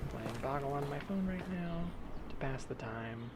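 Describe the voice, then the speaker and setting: A man's voice: medium pitch, lively intonation, unhurried, close to an everyday voice. A man voicing a defensive, evasive wife in an improvised comedy, feigning innocence as he speaks. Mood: evasive, nonchalant. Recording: podcast with faint background noise.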